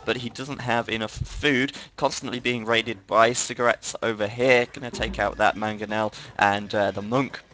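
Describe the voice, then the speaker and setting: A man's voice: fairly low pitch, steady tone, moderate pace, hardly any pauses, bright, forceful tone, poor audio. A man giving chatty live play-by-play commentary on a strategy game stream, often correcting himself. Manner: chatty, self-correcting, laughs at own slips.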